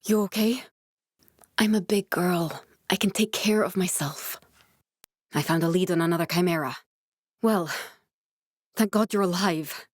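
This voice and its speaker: A woman voicing an earnest, guarded young female scientist survivor in a video game, speaking in a measured, serious way. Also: fairly low pitch, no echo, clean studio sound. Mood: earnest, explanatory, then subdued, regretful. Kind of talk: dramatic video game dialogue.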